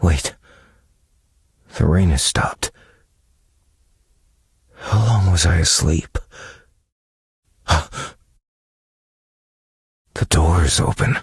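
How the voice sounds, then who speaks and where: An actor playing a brooding detective who narrates a dark mystery game story in a grave, measured, dramatic manner. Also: male voice, low-pitched, creaky, slightly rough, no room sound, studio quality, full character voice. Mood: puzzled.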